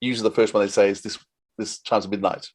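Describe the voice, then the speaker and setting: A man's voice: fairly low pitch, level tone, fast. A man hosting a casual interview, chatting as the interviewer.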